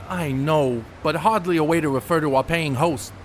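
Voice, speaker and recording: A man playing an educated, guarded, principled ballplayer in an audio drama, speaking in a measured, correcting way. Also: a little high, slight background noise, lightly acted. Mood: principled, reproving. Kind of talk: audio drama acting, 1930s setting.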